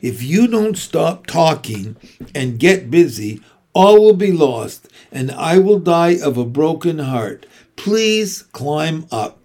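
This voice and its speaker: A man's voice: on the high side, animated, measured pace, darkish tone. A man voicing an earnest, emotional young male pig in a storybook, pleading and exclaiming with urgency. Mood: desperate.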